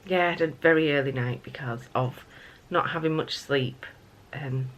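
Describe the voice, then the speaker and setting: Croaky, low-voiced woman vlogging at home. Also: normal speed, slight background noise. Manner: rambling with many fillers. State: croaky just-woken voice. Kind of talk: casual morning vlog chat. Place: family home kitchen.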